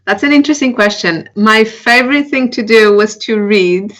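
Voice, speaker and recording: Woman guest, relaxed. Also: a little high, moderate pace. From Portugal. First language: Portuguese. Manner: relaxed, thoughtful, a few restarts. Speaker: guest, company founder. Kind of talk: casual interview chat.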